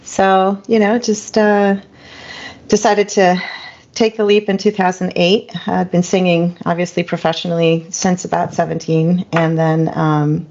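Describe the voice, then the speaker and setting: A middle-aged woman from Spain whose first language is French, a singer-songwriter reflecting in a relaxed interview chat with frequent ums and you-knows. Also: on the low side, unhurried. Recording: dull lo-fi recording.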